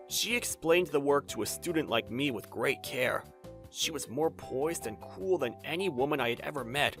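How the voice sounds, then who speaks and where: A man's voice: medium pitch, fairly bright tone. A man voicing a stubborn, earnest young designer who narrates a manga dub and swings from reflective to exasperated. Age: young adult. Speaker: narrator and lead character. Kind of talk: anime-style manga dub, dramatized first-person narration.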